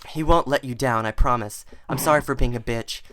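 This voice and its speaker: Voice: mid-range voice, fairly quick, fairly bright tone. A person giving a mock-serious dramatic reading of fan fiction, theatrical and stumbling over words now and then.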